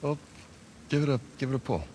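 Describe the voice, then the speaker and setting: A man's voice: medium pitch, animated, a little fast, mellow, slight background noise, lightly acted. A man acting as a cocky, eager treasure hunter in a video game, breezy and sardonic. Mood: breezy coaxing. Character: cocky, eager adult male treasure hunter, older brother. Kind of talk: naturalistic cinematic game dialogue. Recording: cinematic music behind dialogue.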